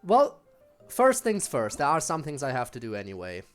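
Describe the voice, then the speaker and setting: Animated, wry game streamer with music behind. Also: medium pitch, normal speed. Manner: wry, chatty, informal. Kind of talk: casual livestream commentary over gameplay.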